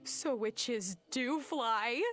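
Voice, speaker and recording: A woman's voice: on the high side, very slow, a bit bright, faint music behind. A woman acting a young novice witch in a video game, eager and wry, with a hesitant, questioning, incredulous manner.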